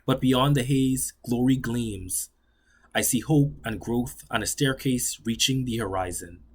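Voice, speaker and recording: A young man reads his own poetry aloud. His voice sits at an ordinary middle pitch with a husky, breathy texture. He delivers the lines in a measured, solemn way, slowing down and sinking lower at the ends of stanzas. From Bahamas. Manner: measured, solemn delivery that slows and drops low on closing lines. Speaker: graduate student poet. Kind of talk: spoken-word poetry reading.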